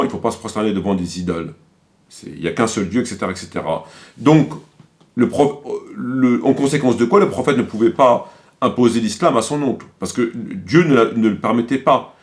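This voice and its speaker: Low-voiced man lecturing emphatically. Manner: emphatic, rhetorical questions, repeats phrases for stress. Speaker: religious commentator. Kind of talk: polemical religious lecture, extemporaneous.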